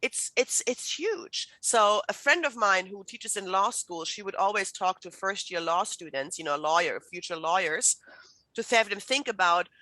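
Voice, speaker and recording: German-born woman teaching a writing class on a video call, bright clear voice, dry sound, lots of "you know". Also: medium pitch, moderate pace, no echo, poor audio. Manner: chatty, animated, many fillers and restarts. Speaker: writing instructor. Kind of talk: online workshop lecture.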